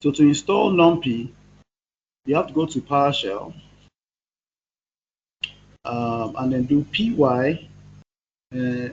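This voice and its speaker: A man's voice: medium pitch, slow pace, pauses often, highs cut off, slight background noise. A male programming instructor with a cold, teaching a live online class and patiently spelling out terms step by step. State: has a cold.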